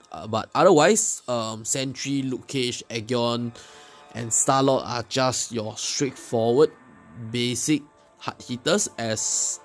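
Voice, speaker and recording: A man giving casual, unscripted game commentary as a video host, explaining things in a hesitant way with pauses mid-sentence. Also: mid-range voice. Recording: loud music behind the voice. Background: loud music under the commentary.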